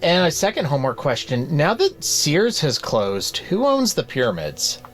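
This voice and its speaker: A man co-hosting a casual book club podcast, rambling through jokes in a deadpan way. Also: on the high side, normal speed. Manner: joking, rambling deadpan bits. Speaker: podcast co-host.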